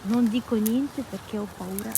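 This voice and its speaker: A woman's voice: a little high, moderate pace, mellow, poor audio. Casting: female hiking companion, casual offhand remarks, slightly off-mic with faint noise around her. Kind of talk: casual chat.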